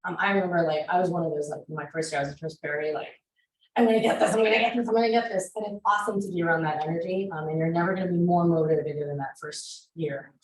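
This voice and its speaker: A woman teaching a real estate class, chatty and energetic, with many fillers. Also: lowish voice, quick pace. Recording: roomy classroom echo.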